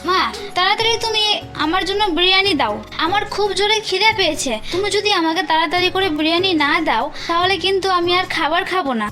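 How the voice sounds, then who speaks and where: A woman's voice: extremely high pitch, fast, sharp tone. A woman voicing a stubborn, whiny, food-obsessed college-age daughter in a cartoon. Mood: demanding, sulky. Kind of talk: animated moral-tale cartoon dialogue. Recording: music behind the voice.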